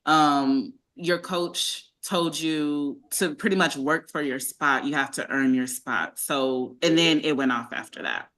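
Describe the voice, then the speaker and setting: A woman's voice: low voice, unhurried, few pauses, fairly bright tone. A woman hosting a casual live-stream interview over a video call, chatty and talking through connection problems aloud. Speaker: livestream host.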